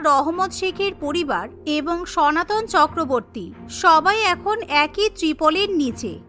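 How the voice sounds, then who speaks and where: Very high-pitched woman narrating a cartoon. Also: sharp tone. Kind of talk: scripted cartoon story narration.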